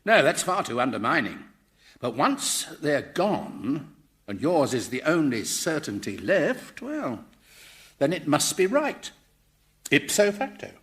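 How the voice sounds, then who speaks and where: An actor playing a mild professor who reasons thoughtfully with dry irony, in radio sitcom style. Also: male voice, fairly high pitch, wildly swooping pitch, slow pace, fairly bright tone, full character voice. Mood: ironic. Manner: thoughtful, lecturing, dryly ironic.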